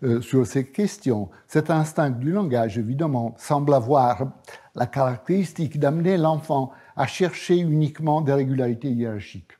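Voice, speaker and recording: Male professor, Italian accent, unhurried university lecture, mellow husky tone, pauses to find words. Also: medium pitch. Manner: measured, hesitant with frequent fillers. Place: lecture hall.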